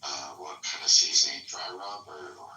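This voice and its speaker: Very deep nasal man, Chicago accent. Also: normal speed, sharp tone. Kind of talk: casual live audio chat. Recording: echoey room from far off with faint noise.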